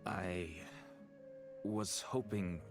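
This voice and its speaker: An actor playing a weary, earnest merchant and father in a video game, speaking in a halting, pleading manner. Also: deep voice, steady tone, music in the background. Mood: grave, sorrowful. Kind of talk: dramatic video game voice acting.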